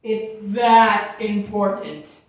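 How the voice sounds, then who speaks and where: A woman's voice: medium pitch, extremely slow. A woman voicing a bossy, reproachful talking Bible in a church puppet show, admonishing and instructing with emphasis. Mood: stern teaching. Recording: echoey room, distant mic, faint noise.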